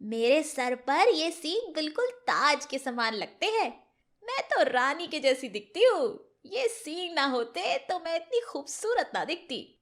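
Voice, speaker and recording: A woman as a very high-voiced, vain doe. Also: big pitch swings, a bit bright, heavily acted. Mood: smug, self-admiring. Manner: self-admiring, slow, sighing and humming. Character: vain, proud female deer admiring her antlers. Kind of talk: children's animated fairy tale character voice.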